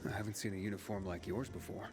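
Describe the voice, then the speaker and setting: Tough pilgrim courier, gravelly, lowish, wary and blunt, game cutscene with music. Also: male voice, fairly even intonation, fast. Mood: curious. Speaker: player character, a pilgrim courier.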